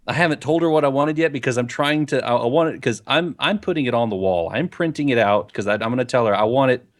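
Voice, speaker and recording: A man's voice: mid-range voice, fast talker. A man co-hosting a gaming podcast in casual, rambling chat, talking into a desk microphone with a pop filter. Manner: rambling, chatty and jokey. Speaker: podcast co-host. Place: home recording setup on camera.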